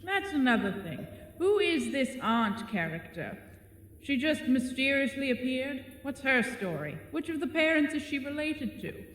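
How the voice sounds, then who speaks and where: An actor in an audio drama voicing a bored, lonely male spider monster with polite, wry, measured phrasing. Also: high voice, very animated, a bit bright, full character voice. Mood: curious, critical. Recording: echoey cavern-like reverb, faint noise.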